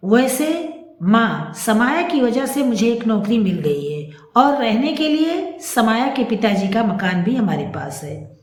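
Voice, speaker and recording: A woman acts out a wounded grown son from a family audio story, proud and earnest. The voice sits fairly high and swings widely in melody, in a very echoey room. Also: sing-song, unhurried, darkish tone. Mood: sarcastic, defiant. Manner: earnest, sorrowful declarations. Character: wounded, remorseful adult son, proud and resolute.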